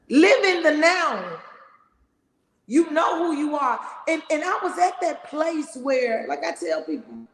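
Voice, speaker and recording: A middle-aged woman hosting a podcast, talking loudly and emphatically in a big voice. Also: high-pitched. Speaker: podcast host. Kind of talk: motivational casual talk. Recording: echoey room with long reverb.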